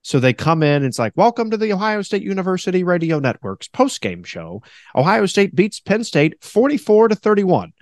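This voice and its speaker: Give me a deep-voiced former radio man chatting on a podcast, lively and expressive, fairly high. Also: moderate pace, no echo, clean studio sound. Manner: animated, breaks into high mocking impressions of radio announcers. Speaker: podcast host. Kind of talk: casual podcast chat.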